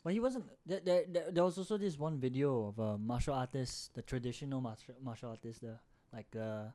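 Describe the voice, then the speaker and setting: A man's voice: mid-range voice, brisk, dark tone. A young man with a Singaporean accent, rambling and joking as a co-host in a casual podcast chat.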